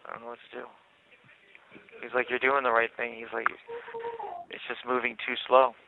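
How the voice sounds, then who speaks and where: Male inmate, informal personal call, halting repetitive speech, thin telephone audio with faint noise. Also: mid-range voice, completely flat delivery, creaky. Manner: repetitive, halting, swears casually. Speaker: jail inmate calling his mother. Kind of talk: casual, personal phone conversation. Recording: noisy, tinny jail phone line.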